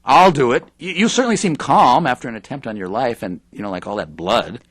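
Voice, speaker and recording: An actor playing a hesitant, smitten reporter in an audio drama, with a halting, stammering delivery. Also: male voice, mid-range voice, animated, a bit bright, no room sound. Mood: uneasy. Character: hesitant, smitten male reporter living with his girlfriend.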